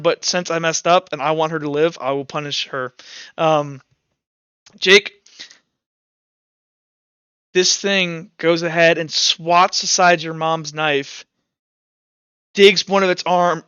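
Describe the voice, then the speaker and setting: A man's voice: a little high, bright, forceful tone, clear, resonant tone, dry recording, muffled recording. A man acting as game master, mixing casual rules talk with informal, vivid narration of combat in a tabletop roleplaying game.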